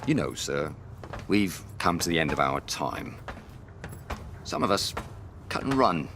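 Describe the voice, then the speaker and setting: Very deep, slow, gravelly solemn man. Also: sing-song, full character voice. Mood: weary, solemn. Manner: slow, deferential, philosophical. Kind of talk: video game cutscene acting. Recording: noisy ambience behind low voice.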